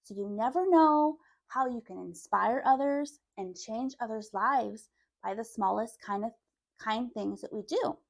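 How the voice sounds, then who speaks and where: A woman's voice: a little high, measured pace, gravelly. A woman reading a children's story aloud as host, with dramatic, careful narration.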